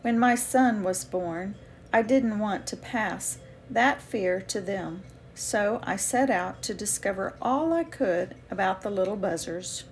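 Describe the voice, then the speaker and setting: A woman reading a children's picture book aloud with expressive, deliberate phrasing for young listeners. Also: mid-range voice, slight background noise. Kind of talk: read-aloud children's storytelling.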